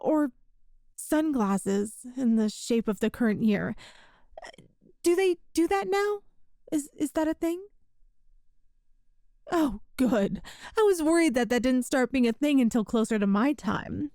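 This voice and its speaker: A woman's voice: sits high, lively intonation, a little slow, pauses often, dark tone, no room sound, studio quality. A woman playing an awkward, chatty time traveler from the far future in an ASMR roleplay, rambling and hesitant. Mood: sheepish worry. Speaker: audio roleplay performer.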